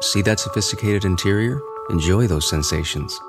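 A man delivers a scripted commercial in a low voice. Music plays clearly behind his voice-over. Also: moderate pace. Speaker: advertising voice-over.

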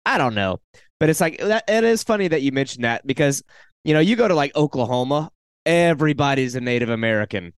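A male podcast host with a smooth, resonant voice, recorded dry and close to the mic. He keeps the conversation easygoing and asks loose, open questions. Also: medium pitch, animated, fast, dry recording. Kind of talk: casual interview chat.

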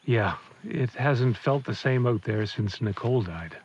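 A man playing a bereaved, brooding middle-aged father in an audio drama, speaking in a halting, folksy way. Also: medium pitch, expressive, a little mellow, a little hiss or hum. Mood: sorrowful. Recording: slightly muffled recording.

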